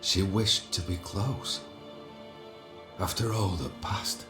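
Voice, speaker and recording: A man's voice: sits low, steady tone, slow pace, music in the background. An actor playing a proud, regretful nobleman in a video game, grave and dramatic in delivery. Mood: mournful.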